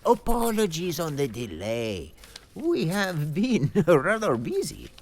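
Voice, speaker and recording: An actor playing an obliging, apologetic Italian hotel keeper in an audio drama, with a hesitant, deferential way of speaking and an Italian accent. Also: male voice, on the high side, huge pitch swings, slow, vocal fry, heavily acted.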